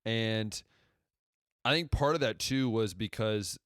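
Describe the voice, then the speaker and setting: A young man from Ohio in his twenties with a deep radio-style voice, hosting a casual solo podcast and rambling conversationally as he backtracks through his story. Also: slow, a bit bright, clear, resonant tone, no echo, studio quality. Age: 20s. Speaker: podcast host.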